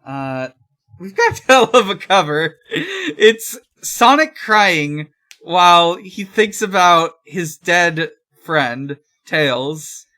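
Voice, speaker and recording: A podcast host with an unhurried, slow pace and a medium-pitched voice. The delivery is casual and low-key, halting along through a recap as if chatting with friends. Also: sing-song, sharp tone. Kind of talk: casual comic recap chat.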